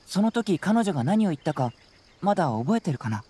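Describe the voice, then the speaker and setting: A man's voice: high voice, big pitch swings, fairly quick, mellow, slight background noise. An actor playing a clever, confident young male detective in an anime game, speaking in a composed, casual, analytical way. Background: music.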